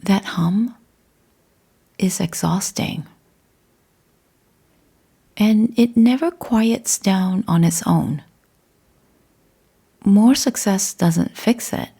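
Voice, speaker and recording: A woman leading a guided meditation in a measured, hushed and deliberate way, leaving long pauses between short phrases. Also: medium pitch, vocal fry, breathy edge. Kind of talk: slow guided meditation narration.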